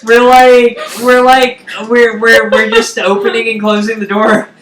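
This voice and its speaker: A woman with a big voice chatting casually and excitably as she hosts a video game stream. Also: fairly high pitch, a little slow. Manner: loud, excitable. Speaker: streamer and host of a co-op gaming session. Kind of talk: casual chat while playing a video game. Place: indoor room, live game stream. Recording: echoey room with background noise.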